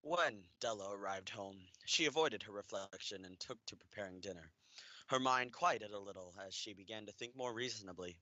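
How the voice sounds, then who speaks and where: A man's voice: fairly low pitch, moderate pace, sharp tone, dull audio. A young man playing an earnest storyteller who narrates a tender radio play.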